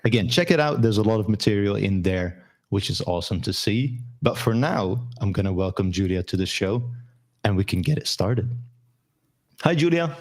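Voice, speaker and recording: Brief: low-pitched male host, upbeat and smooth, video call sound. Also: animated, normal speed. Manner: upbeat, smooth promotional patter. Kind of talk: live stream interview hosting.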